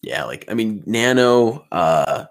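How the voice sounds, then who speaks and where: A man in his twenties with a very low voice and a gravelly texture. He talks on a podcast as co-host, eager, restarting his sentences as he goes. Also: expressive, slow. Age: 20s. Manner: enthusiastic, repeats words at starts of sentences. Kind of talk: casual chat.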